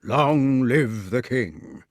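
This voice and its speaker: A man's voice: medium pitch, animated, moderate pace, no echo, studio quality, lightly acted. A man voicing a blustering, indignant old knight in a storybook, solemn and pompous in manner.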